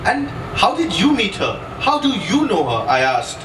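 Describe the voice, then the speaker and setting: A man's voice: high voice, huge pitch swings, moderate pace. A man giving a dramatic first-person reading from a novel at a book launch. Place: indoor event room at a book launch, miked from a distance. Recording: distant echoey room, clear background noise.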